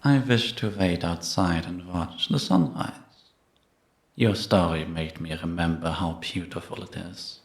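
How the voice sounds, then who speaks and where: A man playing a gentle, patient priest in a cinematic audio roleplay, probing with measured, contemplative questions. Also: low-pitched, gravelly. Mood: serene, earnest. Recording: echoey, reverberant room.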